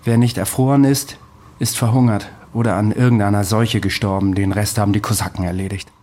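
A man's voice: on the low side, steady tone, a little fast, a little mellow, lightly acted. An actor in a radio play playing an earnest, haunted man with a dryly ironic, insistent manner. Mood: wry, grim. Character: intense, wry, haunted man claiming to be Napoleon's veteran.

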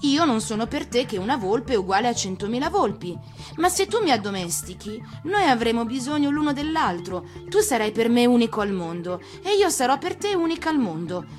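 An earnest, gentle female fox from a storybook , played by a woman reader. She explains patiently and with expressive emphasis. Music plays behind her. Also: a little high, brisk, pitched above the performer's own voice. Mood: earnest, explaining.

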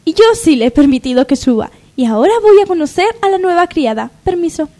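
A woman's voice: high voice, expressive, fast talker, warm tone. A woman playing a defiant young daughter of the household in a parody radio soap opera. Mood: defiant, cheeky.

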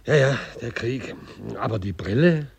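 Pompous, husky theatre director. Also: male voice, medium pitch, lively intonation, normal speed, a little mellow, exaggerated voice. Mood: condescending. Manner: pompous, declamatory, condescending. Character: smug, blustering middle-aged theatre director. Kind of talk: post-war German radio play acting.

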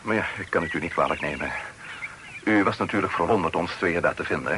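An actor playing an anxious, evasive middle-aged gentleman in a Dutch radio play, speaking formally and haltingly. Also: deep voice, lightly acted. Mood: flustered, insistent. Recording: noisy old radio play recording.

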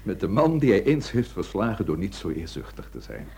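A sceptical police inspector in a radio play. His voice is on the low side and rough-edged, swinging widely in pitch as he probes with wry questions. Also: wildly swooping pitch, fairly quick, a little mellow, exaggerated voice. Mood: probing, wry. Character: gruff, sceptical police inspector, career-minded former suitor. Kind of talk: Dutch radio drama acting.

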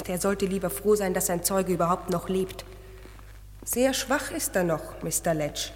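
A woman playing a brisk, protective hospital nurse in a German radio crime play, scolding and authoritative. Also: fairly low pitch, lightly acted. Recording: reverberant vintage radio play, faint noise.

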